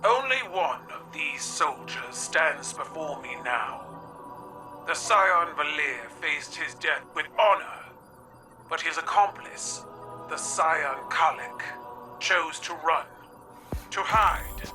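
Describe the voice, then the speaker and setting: A man voicing a stern imperial empress, making slow, commanding proclamations to her troops. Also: on the high side, lively intonation. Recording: distant voice over loud music.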